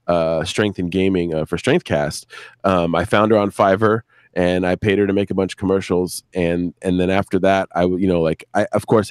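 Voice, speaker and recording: A man chatting casually as a guest show creator on a live stream, rambling and halting, repeating words and swearing freely. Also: deep voice, a little mellow, no room sound, studio quality.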